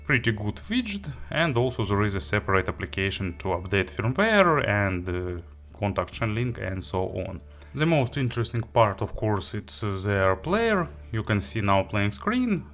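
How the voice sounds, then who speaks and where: A man giving an unscripted product review as a gadget reviewer, speaking haltingly and often searching for words. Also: on the low side, a bit bright.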